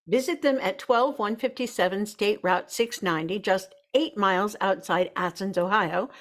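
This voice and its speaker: A woman working as narrator and announcer, reading a script for a radio drama broadcast. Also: medium pitch.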